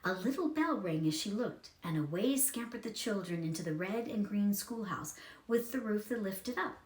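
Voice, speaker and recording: A woman's voice: mid-range voice, reverberant. A woman reading a children's story aloud as a scripted narration.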